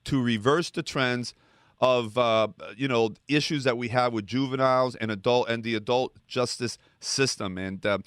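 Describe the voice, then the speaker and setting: A man hosting a business radio show, introducing and questioning a guest in a halting way with many restarts. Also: medium pitch, a bit bright, clear, resonant tone, dry recording, clean studio sound. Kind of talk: interview hosting.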